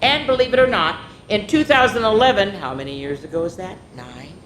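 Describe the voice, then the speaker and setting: A woman's voice: brisk, a bit bright. A woman hosting a children's story time, reading a picture book expressively, drawing out words and adding casual asides. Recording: echoey room with faint rumbling noise.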